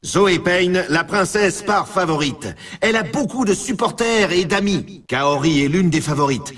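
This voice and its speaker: High, husky, hyped race announcer. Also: male voice, a bit bright, distant mic, full character voice. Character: hyped male snowboard race announcer, upbeat showman. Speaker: game announcer. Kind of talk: excited sports commentary.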